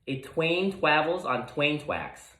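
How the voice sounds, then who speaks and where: A man's voice: on the high side, big pitch swings, slow pace, pushed and bright, roomy sound, voice pushed up, heavily acted. Man as a timid little rat boy, W for R. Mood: miserable. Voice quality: cannot pronounce R, says W instead. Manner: mumbling, whispering.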